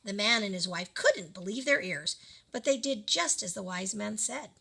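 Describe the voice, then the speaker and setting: A woman reading a children's story aloud in an expressive, dramatic storytelling manner. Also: mid-range voice, normal speed, creaky, slight background noise. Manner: animated, dramatic delivery of characters.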